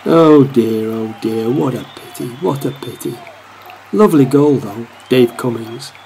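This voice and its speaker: A man's voice: mid-range voice, a little slow, vocal fry, warm tone, slight background noise, poor audio. A man playing a wry, devoted football supporter who narrates a match in a radio play, in commentary style.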